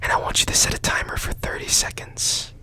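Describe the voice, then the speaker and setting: A man playing a strange, secretive, obsessive repairman in a hushed, deliberate, whispered ASMR roleplay with long pauses. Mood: intense, secretive.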